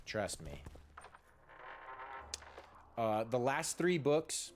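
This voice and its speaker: Slow-talking male streamer over game music. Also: mid-range voice, very animated. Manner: informal, halting, emphatic on key words. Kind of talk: casual rambling game stream chat.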